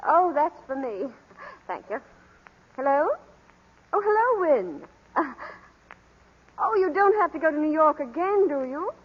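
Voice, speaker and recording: A polite, slightly fretful society wife and mother. She speaks high and genteel in 1940s radio drama manner. The recording is muffled, with a long hall-like reverb. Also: very animated, measured pace, long pauses.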